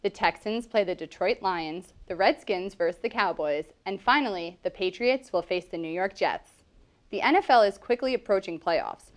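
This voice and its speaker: Woman, news anchor, scripted reading, even delivery, mid-pitched.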